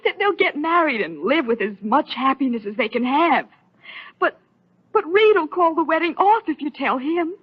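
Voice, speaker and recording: A woman's voice: high-pitched, animated, normal speed. An American woman playing an earnest, outspoken, devoted woman in an old-time radio soap opera, emotional and insistent. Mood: pleading, insistent. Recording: dull, lo-fi vintage radio recording.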